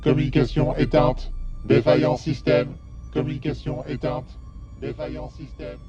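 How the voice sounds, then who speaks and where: A man playing an emotionless spaceship computer in an audio drama, announcing reports in a flat robotic manner. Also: fairly high pitch, normal speed, creaky, dull audio, over music.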